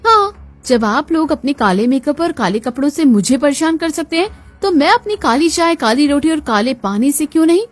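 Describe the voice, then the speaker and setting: A sarcastic, defiant young cartoon daughter-in-law, voiced by a woman, dramatic and mock-sweet. Her voice is fairly high, with faint music behind. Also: animated, normal speed.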